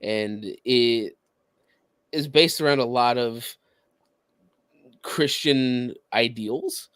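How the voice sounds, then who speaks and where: A man co-hosting a podcast, explaining things casually in a halting, searching way. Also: medium pitch, a bit bright, clean tone, no room sound, clean studio sound. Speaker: podcast co-host.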